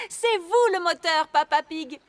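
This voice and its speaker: A woman voicing a brisk, polite, obliging lady rabbit who works as a shopkeeper in a children's cartoon. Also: very high-pitched, lively intonation, full character voice. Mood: brisk, helpful. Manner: businesslike, obliging.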